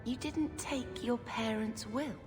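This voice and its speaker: Young sister, earnest, gentle, medium-pitched female voice, fantasy game dialogue, reverberant, music behind. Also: slow pace. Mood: pointed. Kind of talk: fantasy video game acting.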